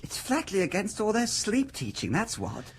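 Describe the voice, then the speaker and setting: A man's voice: high voice, wildly swooping pitch, normal speed, husky, exaggerated voice. An actor playing an anxious, insecure young man who stammers and frets, in a radio drama. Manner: stammering, nervous.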